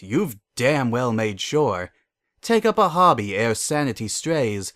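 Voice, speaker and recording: A man's voice: medium pitch, no room sound, studio quality. A man narrator reciting rhyming poems from a script. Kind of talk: poetry recitation.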